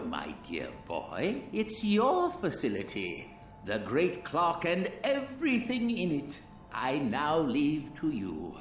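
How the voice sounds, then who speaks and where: An actor playing a gently amused, fatherly elderly inventor, speaking slowly, with echo and game music behind. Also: a little high, exaggerated voice. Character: kindly elderly inventor father, a digital recording. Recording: roomy echo from a distance, with music.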